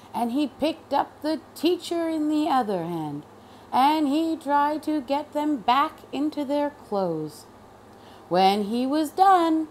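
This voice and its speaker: A woman reading a children's storybook aloud expressively, with deliberate, dramatic emphasis on key words. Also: a little high, a little mellow, a little hiss or hum. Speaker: storybook reader.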